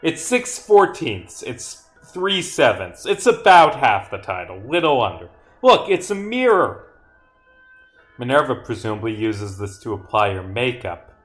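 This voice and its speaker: A man live-streaming his own game, reading dialogue aloud and rambling as he thinks out loud, with some swearing. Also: mid-range voice, sing-song, measured pace, faint music behind.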